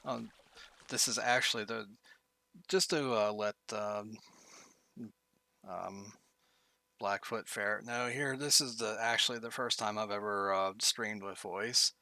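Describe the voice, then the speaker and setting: Low bright-voiced man thinking aloud. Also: steady tone, vocal fry, no room sound. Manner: halting, thinking aloud, many fillers. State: voice getting tired. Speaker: streamer. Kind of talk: game tutorial commentary. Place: bedroom.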